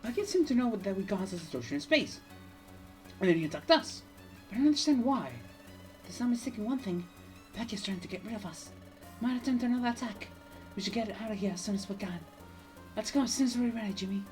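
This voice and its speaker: A woman's voice: mid-range voice, expressive, quick pace, a little mellow, faint music behind, pitched above the performer's own voice, lightly acted. A woman voicing an anxious, bewildered young adventuring partner in a game, reading the dialogue in an earnest, worried manner. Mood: worried, puzzled.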